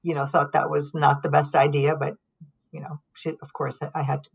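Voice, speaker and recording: A middle-aged woman from Lebanon with a low voice tells her story as an interview guest. She speaks calmly and thoughtfully, pausing often with ums. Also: vocal fry, slightly rough. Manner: calm, reflective, with frequent ums and pauses. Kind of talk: conversational storytelling.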